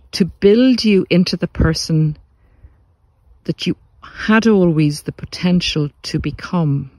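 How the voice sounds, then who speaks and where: A man giving a motivational spiritual talk as a podcast host, speaking earnestly and deliberately, with repeated phrases for emphasis. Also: on the high side, darkish tone, no room sound. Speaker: podcast host, narcissistic abuse recovery coach.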